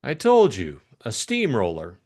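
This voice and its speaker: A man's voice: medium pitch, huge pitch swings, measured pace, darkish tone, exaggerated voice. Man playing a fretful aristocratic English father. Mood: offhand. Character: astonished, languid aristocratic father, an English lord.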